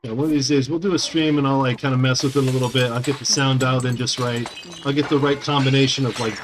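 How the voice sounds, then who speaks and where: A man in his thirties talks casually to his live-stream audience, wandering from thought to thought with plenty of fillers. His voice sits at a middling pitch, picked up by a small condenser mic at home. Faint game music plays underneath. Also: rough recording. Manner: rambling, lots of fillers, friendly.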